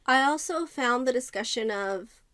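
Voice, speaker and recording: Woman, fairly high voice, casual book reviewer, conversational, emphatic on points, dry sound with little room echo. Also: expressive, normal speed, a bit bright.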